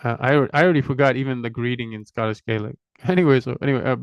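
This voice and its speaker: A man's voice: medium pitch, rapid-fire, clear, resonant tone, no room sound, highs cut off. A middle-aged man hosting a language channel, talking casually and rambling from one item to the next, poking fun at himself along the way. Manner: chatty, digressive, self-deprecating, lists items one by one.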